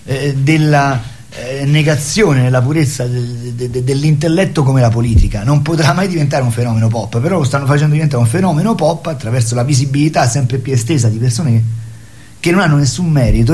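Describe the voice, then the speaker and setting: A man arguing his opinions in an impassioned, polemical conversation, with halting, repetitive phrasing. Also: medium pitch, lively intonation, darkish tone.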